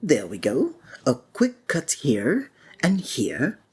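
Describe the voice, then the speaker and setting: A woman playing a flamboyant, sinister male stage magician in an ASMR roleplay, with breathy, honeyed, flowery theatrical speech that often drops into a whisper. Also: low-pitched, huge pitch swings, slow pace, a little mellow, exaggerated voice. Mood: showy, silky.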